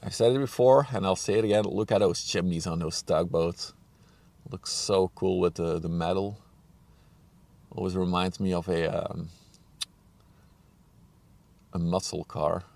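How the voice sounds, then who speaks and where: A man streaming live outdoors, chatting casually and muttering to himself while he sets up his gear, his voice a bit hoarse from a cold. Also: low-pitched, fairly even intonation, measured pace, long pauses, a little mellow. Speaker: ship-spotting livestreamer. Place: outdoors on a riverbank.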